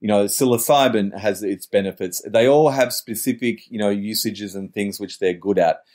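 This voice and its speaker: A man's voice: fairly low pitch, moderate pace, a little mellow. A middle-aged man talking in a wordy, searching way as a podcast guest, often correcting himself mid-sentence. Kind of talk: reflective interview talk.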